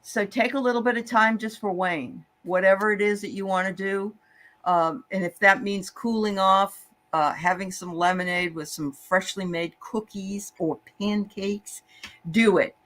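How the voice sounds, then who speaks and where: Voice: mid-range voice, fairly even intonation, unhurried, a bit bright. A host of a psychic show giving readings in a casual, encouraging chat.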